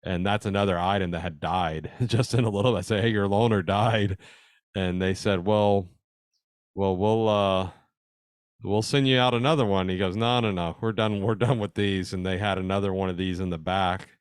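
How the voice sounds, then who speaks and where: A man's voice: deep voice, steady tone, normal speed, clean tone, dry recording, studio quality. A man giving an informal slideshow presentation to a radio club, explaining technical details in a conversational, interested way.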